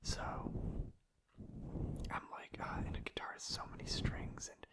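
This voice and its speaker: A man speaking in a breathy, unvoiced whisper, rambling casually and hesitantly in an ASMR style. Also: unhurried. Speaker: ASMR creator.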